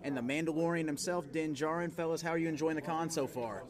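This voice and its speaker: Higher-voiced man hosting amid a busy crowd. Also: fast. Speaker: podcast host interviewing guests, also a voice actor. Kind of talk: casual on-location interview. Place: convention booth in a hotel media room. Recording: busy convention crowd around him.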